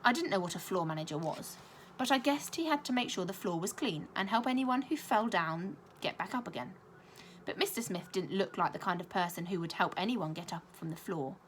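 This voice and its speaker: Animated woman reading a children's story. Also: on the low side, a little fast, a little hiss or hum. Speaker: primary school teacher reading aloud.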